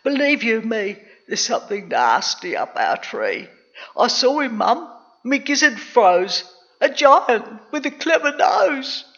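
Excitable young boy acted by an adult reader, high bright voice, eager cheeky protests, storybook verse. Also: measured pace, lo-fi sound, heavily acted. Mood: frightened gasping. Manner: breathless exclamations, eager protesting.

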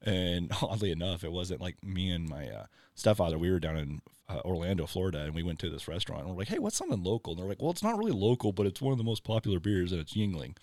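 A man's voice: deep voice, sing-song, fast, darkish tone, no echo. A man telling a long personal story in casual chat, rambling with lots of "like" and "you know". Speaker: podcast guest.

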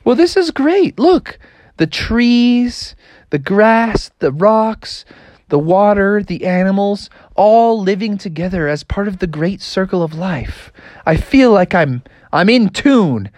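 A storyteller voicing an eager young male frog who wants to be a rugged outdoorsman, raising the pitch well above the storytelling voice. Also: sing-song, slow pace, no room sound. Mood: excited. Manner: eager, shouty and impatient.

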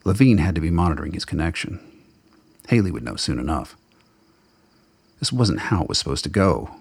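A man narrates a radio drama in a very low voice with plenty of gravel in it. The delivery is brooding and literary, and the sound is close and dry, though the words come out somewhat husky. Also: sing-song, fairly quick, a little mellow, dry recording. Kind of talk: dramatic scripted narration of fiction.

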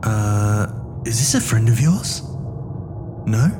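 A man's voice: lowish voice, expressive, takes it slowly, over music. A man hosting a story podcast in character, talking casually as if guiding a companion through an audio drama. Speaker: host and guide of a story podcast.

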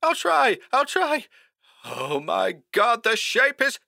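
Panicked horror writer, shrill and creaky, strained, hoarse, despairing, thin telephone sound, audiobook drama. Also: male voice, lively intonation, moderate pace, pushed and bright, exaggerated voice. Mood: terrified. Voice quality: high-pitched, strained, hoarse. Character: frantic, doomed male horror writer, terrified and despairing.

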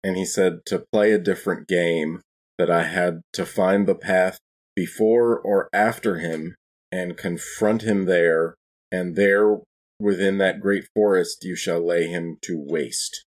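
A man's voice: deep voice, fairly even intonation, unhurried. A man playing a tabletop role-playing game, talking casually and a little hesitantly in character.

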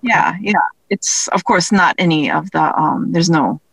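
A woman speaking as an interview guest in casual conversation, thinking aloud in a halting way. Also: lowish voice, vocal fry, fairly bright tone, slightly rough, no echo, rough recording. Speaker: guest, audio drama creator.

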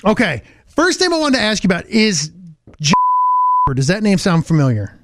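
A man co-hosting a radio show in a studio, chatting informally and explaining things step by step with lively banter. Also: sits high, moderate pace, background noise.

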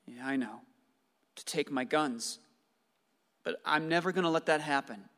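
An actor playing a stubborn, wounded husband with childlike hope and an insistent manner, in a radio play. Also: male voice, a little high, normal speed, pushed and bright. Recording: long hall-like reverb.

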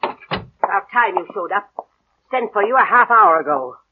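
An actress playing a querulous, demanding older aunt who scolds and complains, in 1940s radio drama style. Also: female voice, medium pitch, animated, unhurried, noisy background, close to an everyday voice. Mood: imperious, fretful. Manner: scolding, sarcastic, fussy. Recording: tinny, narrow vintage radio recording.

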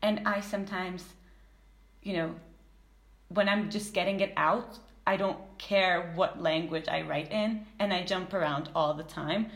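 A woman who speaks Turkish as her first language, hosting a podcast and thinking aloud in relaxed chat. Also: fairly low pitch, unhurried. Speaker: podcast host. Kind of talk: casual podcast conversation. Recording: roomy echo.

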